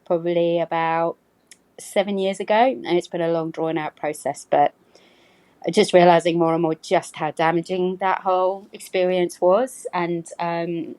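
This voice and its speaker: A woman's voice: on the low side, flat delivery, unhurried, a little mellow, no room sound. A woman, from the UK, leaving a rambling recorded voicemail as a caller, with many ums. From United Kingdom.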